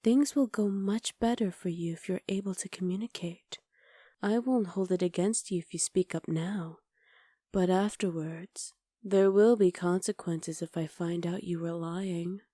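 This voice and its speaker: A woman playing a haughty, menacing witch conqueror in an ASMR roleplay, speaking in soft, measured, formal sentences with a hushed, breathy delivery. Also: lowish voice, fairly even intonation, no echo, studio quality. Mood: coaxing, menacing. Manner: imperious, measured, formal diction.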